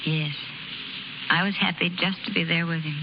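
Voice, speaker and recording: An actress playing a wistful, proud young circus bareback rider, subdued and slangy, in a 1930s radio drama. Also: female voice, lowish voice, fairly even intonation, moderate pace, natural voice. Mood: sad, resigned. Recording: muffled, hissy 1930s radio recording.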